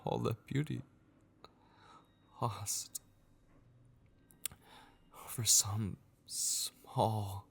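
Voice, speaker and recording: Man playing a low, husky, possessive tormentor. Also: steady tone, heavily acted. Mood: plaintive, pleading. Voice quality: husky, gravelly, often dropping to a whisper. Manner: slow, coaxing, mockingly tender. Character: sinister, possessive, taunting male voice haunting a captive vampire. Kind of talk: ASMR horror roleplay, intimate dramatic monologue.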